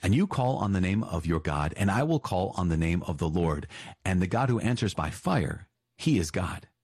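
A man's voice: deep voice, lively intonation, moderate pace, darkish tone, husky, no echo, studio quality, full character voice. A man reading as a stern, commanding prophet. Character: stern bold male prophet, commanding and mocking.

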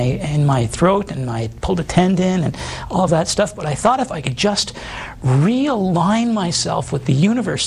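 A man in his fifties with a deeply gravelly, rough-edged voice that cracks into fry on nearly every phrase. His tone is very husky and strained, a voice worn down by old damage. He tells his story earnestly and reflectively, pausing on ums and restarting sentences. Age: 50s. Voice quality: hoarse, gravelly voice. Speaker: guest. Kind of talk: personal testimony in a faith interview.

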